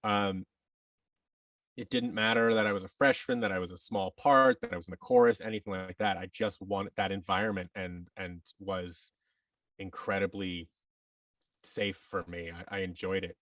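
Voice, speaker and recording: A man telling his story casually as a podcast guest, reflective and halting, often acting out bits of quoted dialogue. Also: low voice, fairly even intonation, fairly bright tone, dry recording, distant mic. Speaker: podcast guest, actor and audio drama producer.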